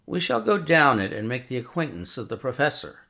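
A man voicing a sharp, confident male detective who reasons in a crisp, measured way. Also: mid-range voice, big pitch swings, full character voice. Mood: reasoning.

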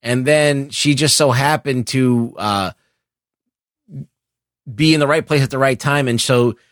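A man with a mid-pitched voice in a very dry recording. He explains things casually as a co-host, opinionated and blunt, wandering a bit as he goes. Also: a little slow, no echo. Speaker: podcast co-host. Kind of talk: casual explanatory pop culture chat.

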